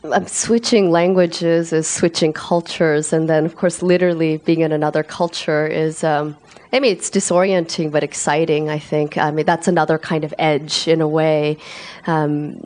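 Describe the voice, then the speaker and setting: A woman author answering interview questions in a thoughtful, searching way, with frequent pauses and restarts. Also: deep voice, flat delivery, darkish tone. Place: lives in South Korea.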